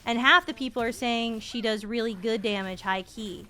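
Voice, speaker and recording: A woman's voice: on the high side, normal speed, sharp tone, a little hiss or hum. A woman in her thirties giving casual, playful gaming stream commentary, full of slang and swearing. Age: 30s.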